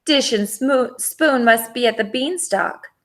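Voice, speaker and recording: A woman's voice: on the high side, measured pace, a bit bright, pitched above the performer's own voice. A woman voicing a small, plucky, anxious female dog in a children's storybook. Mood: alarmed.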